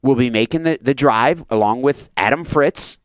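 A man's voice: mid-range voice, moderate pace, no echo, studio quality. A man in his thirties hosting a casual sports radio talk show, enthusiastic and a little rambling. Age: 30s.

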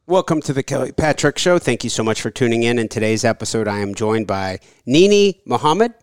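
Male podcast host, smooth clear voice, dry close sound, easygoing interviewer. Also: mid-range voice, brisk, dry recording. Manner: relaxed, conversational, some filler words. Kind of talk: casual interview and read sponsor ads.

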